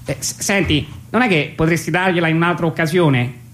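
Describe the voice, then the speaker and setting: A man voicing a new mother on the phone in a radio drama, patient but nervous, stammering and repeating words as the mother gently corrects. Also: on the high side, a little fast, pitched above the performer's own voice, lightly acted. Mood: anxious, correcting. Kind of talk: theatrical radio monologue, staged phone dialogue.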